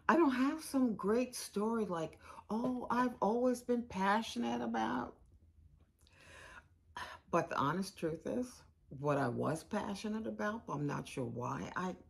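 An older actress playing a candid law school dean reminiscing in a conversational monologue, emphatic and given to repeating phrases. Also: female voice, mid-range voice, vocal fry, husky, heavily acted. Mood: candid, enthusiastic. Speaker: actor in a dramatized narrative. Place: room with bookshelves.

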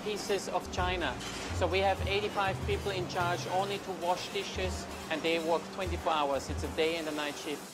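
A man's voice: sits high, steady tone, moderate pace, over music. A man on the ship's staff explaining plainly how the dishwashing works.

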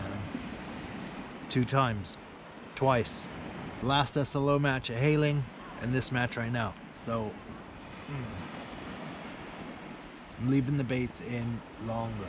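A man in his forties chatting casually to camera as an angling vlogger, with a laid-back manner. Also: mid-range voice. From United Kingdom. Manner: laid-back, often tags remarks with "man". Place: outdoors on a beach at night, in a small tent. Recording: noisy outdoor camera audio.

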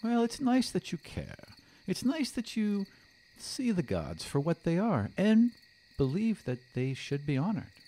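A man voicing a serene, reverent female envoy of the gods in a tabletop game, speaking in measured, formal proclamations. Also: high-pitched, huge pitch swings, dark tone, voice pushed up, full character voice, low-quality recording. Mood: reverent, earnest. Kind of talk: tabletop roleplay acting.

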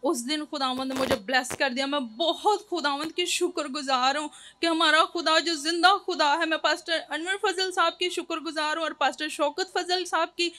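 High, bright woman giving earnest testimony. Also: fairly even intonation. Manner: earnest, thankful, halting at first. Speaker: church member giving testimony. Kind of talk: spoken testimony. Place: indoor church stage. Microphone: handheld mic held by host.

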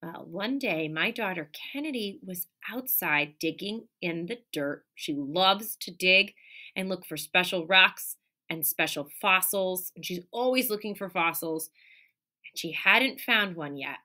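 A woman in her thirties, a teacher reading a picture book aloud to children, animated, with gasps and questions to her young listeners, recorded on a close webcam in a classroom. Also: lowish voice, a little slow. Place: classroom or office with window and colorful wall hanging. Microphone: webcam at close range.

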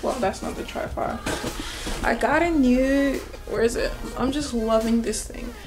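A woman's voice: a little high, a little slow, over music, rough recording. A young woman, a student vlogger, talking casually to a handheld camera at arm's length, full of "like" and "you know". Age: young adult. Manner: chatty, loose, many "like" and "you know" fillers. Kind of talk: casual vlog talk to camera. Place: small bedroom and back seat of a taxi. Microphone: handheld camera on a small tripod at arm's length.

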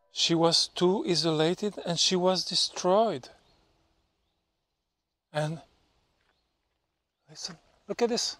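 Belgian man in his fifties, slow and playful. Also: high voice, a bit bright, dry recording. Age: 50s. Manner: playful, mock-dramatic storytelling. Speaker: travel vlogger. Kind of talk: casual vlog to camera. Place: outdoors in a forest and meadow.

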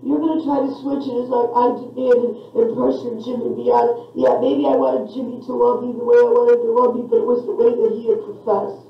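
A woman's voice: fairly high pitch, fairly even intonation, brisk, creaky, hardly any pauses. A woman venting angrily and defiantly in a therapy session, with plenty of profanity. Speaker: therapy patient on a session tape. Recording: echoey, distant, muffled session tape.

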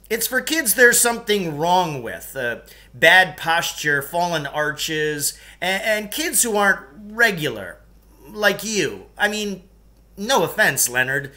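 A sneering, overbearing gym teacher, put on by a man reading a story. He is loud and pitched up, with a sharp, forceful edge. His manner is sarcastic and belittling. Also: exaggerated voice.

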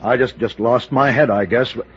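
A man's voice: mid-range voice, normal speed, breathy edge. An actor playing a vain, self-pitying male comedy star under threat, in old-time radio drama style. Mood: nervous, pleading. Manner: hesitant, pleading, then boastful. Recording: dull vintage radio recording.

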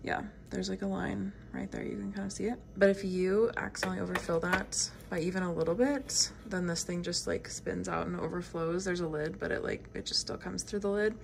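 Young female vlogger, husky fry-tinged voice, loose chatty delivery, phone mic at home. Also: fairly low pitch, fairly even intonation, fairly quick, few pauses, background noise. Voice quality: raspy. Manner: chatty, rambling, lots of "like". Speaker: book vlogger. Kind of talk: casual vlog chat. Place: home, bedroom and kitchen.

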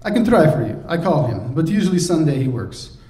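A man voicing a loud, proud host who speaks blunt, broken English in short exclamations, in an audiobook recorded in a roomy space from a distance. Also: on the high side, very animated, a little fast, pitched above the performer's own voice. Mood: friendly. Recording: roomy, distant, faint hiss.

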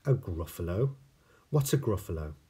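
A man's voice: low voice, lively intonation, moderate pace, mellow, slightly rough, exaggerated voice. A man voicing a sly, easily scared owl in a storybook, emphatic and exclamatory. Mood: inviting, curious.

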